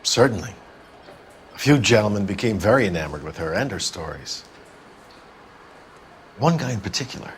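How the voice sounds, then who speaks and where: An actor playing a world-weary, gruff middle-aged 1940s club owner in a video game, blunt and wistful. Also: male voice, on the low side, lively intonation, normal speed, pauses often, slight background noise, lightly acted. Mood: wistful, guarded.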